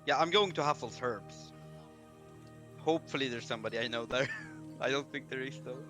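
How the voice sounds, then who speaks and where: A man playing a tabletop game, talking casually and getting flustered and excited. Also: medium pitch, brisk, music in the background. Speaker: player. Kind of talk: casual chat.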